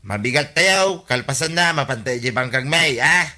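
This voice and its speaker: An earnest Ilocano village uncle in a radio comedy. His voice is bright and forceful, at an ordinary male pitch, and he talks like someone giving concerned advice. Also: expressive, moderate pace. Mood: friendly, businesslike.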